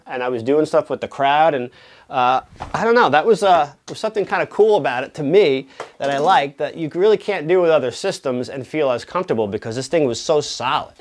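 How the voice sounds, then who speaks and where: Male product reviewer, slightly high-pitched voice, casual explanatory delivery, conversational check-ins. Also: expressive, normal speed.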